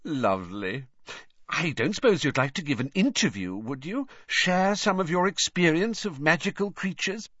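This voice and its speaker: A man voicing a nosy woman tabloid reporter who talks with falsely sweet, lively interest. The audio is slightly dull and dry. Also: fairly high pitch, moderate pace, no echo, pitched above the performer's own voice. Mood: gushing.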